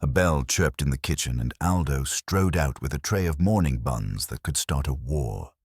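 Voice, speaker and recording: A man with a gravelly, husky voice, narrating a scripted detective story in a wry noir manner. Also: very deep voice, animated, normal speed, no room sound, studio quality. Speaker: audiobook narrator.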